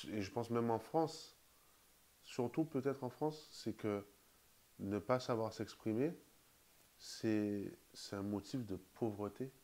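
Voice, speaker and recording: A man's voice: fairly low pitch, darkish tone. A man in casual conversation, reflective and hesitant, restarting his sentences often.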